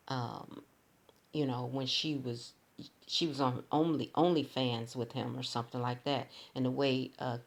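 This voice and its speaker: A hoarse man with a raspy voice, talking casually to his viewers in a rambling, halting way with many restarts. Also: mid-range voice. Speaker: commentary channel host.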